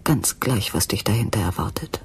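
An actress playing a troubled, anxious wife in a German radio crime drama, with a hesitant, brooding manner. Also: female voice, a bass voice, animated, moderate pace, whispered.

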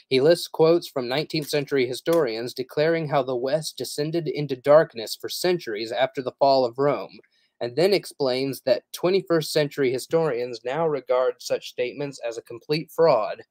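A man's voice: medium pitch, darkish tone, no room sound. Earnest man teaching in a dry room. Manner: earnest, explanatory, frequent "uh" fillers. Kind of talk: livestream teaching, reading quotes aloud.

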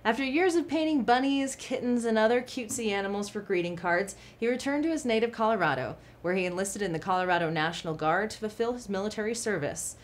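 A young woman anchoring a local TV news segment, reading a scripted feature in a clear, even newsreader delivery from a studio desk. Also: medium pitch, quick pace, hardly any pauses, slight background noise. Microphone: studio mic, seated at desk.

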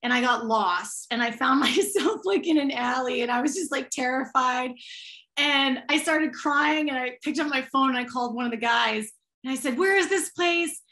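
Bright, slightly raspy young woman chatting. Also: high voice. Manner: gushing, chatty, quick asides with fillers. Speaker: singer and guest. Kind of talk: casual chat, storytelling. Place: home room. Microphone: webcam on a video call.

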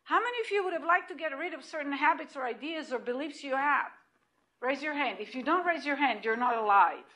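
High-voiced Israeli woman lecturing. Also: fairly quick. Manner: animated and emphatic, with restarts and repeated points. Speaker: lecturer at hospital grand rounds. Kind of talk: lecture presentation. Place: lecture room, indoors.

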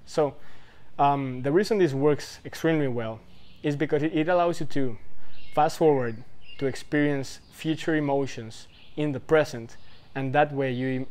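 A man's voice: medium pitch, a little mellow. A young man in his twenties talks loosely to the camera in a mid-range voice. He rambles, circles back, and fills his talk with "like" and "right?". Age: 20s. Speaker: vlogger giving life advice.

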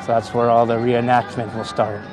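A man's voice: mid-range voice, level tone, normal speed, a little mellow, soft background music, a faint crowd. A middle-aged man from Canada with a Canadian accent, a tour guide chatting casually on a live stream outdoors, laughing often and greeting viewers by name. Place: outdoor hilltop park. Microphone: phone on gimbal at arm's length.

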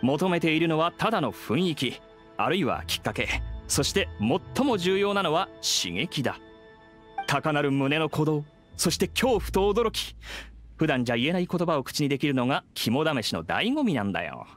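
A man playing a casual, rough-talking young worker at a haunted attraction in a Japanese video game, breezy and colloquial. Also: a little high, sing-song, normal speed, fairly bright tone, soft background music. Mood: breezy, matter-of-fact. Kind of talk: scripted Japanese game voice acting.

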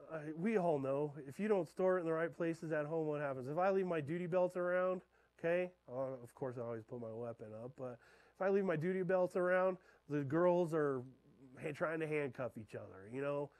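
A man in his thirties, a fishing guide, giving a talk in a darkened lecture room and checking in with his listeners with a steady "okay?". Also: on the high side, moderate pace, dry recording, poor audio. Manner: keeps checking in with the audience with "okay?". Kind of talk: classroom talk to an audience. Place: dark lecture room beside a projector screen.

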